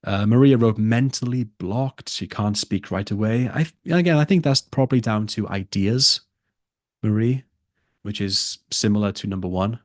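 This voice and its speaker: A man's voice: fairly low pitch, normal speed, a little mellow, dry recording, studio quality. A man who tutors for a test, teaching a live online lesson and repeating his key points for emphasis.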